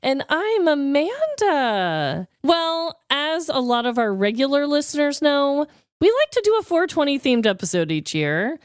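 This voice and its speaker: A woman with a fairly high, very animated voice co-hosts a comedy podcast. She chats casually, teasing and playful. The recording is dry and close. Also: measured pace, dry recording. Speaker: podcast co-host.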